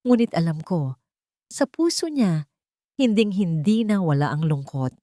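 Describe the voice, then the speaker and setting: A woman's voice: fairly low pitch, lively intonation, measured pace, warm tone, no room sound, studio quality. A woman with a Filipino accent voicing a regretful older father in an audio story, telling his past with heavy remorse. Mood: regretful, sorrowful.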